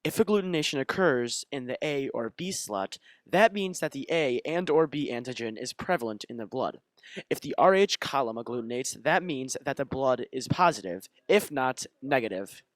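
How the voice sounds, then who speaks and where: A man's voice: medium pitch, expressive, moderate pace, no room sound. A young man reading scripted narration for a student crime dramatization.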